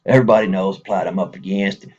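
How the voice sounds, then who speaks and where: A man's voice: sits low, steady tone, quick pace, darkish tone, husky, dull audio. A man with a Texas accent speaking casually to viewers in a folksy, rambling way, chuckling between thoughts.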